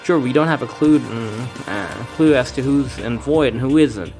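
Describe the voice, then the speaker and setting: A man's voice: mid-range voice, normal speed, darkish tone, soft background music. A man reading a fan game aloud, voicing its characters with casual asides and stumbles. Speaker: let's play fan dubber. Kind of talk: casual game playthrough with voiced dialogue.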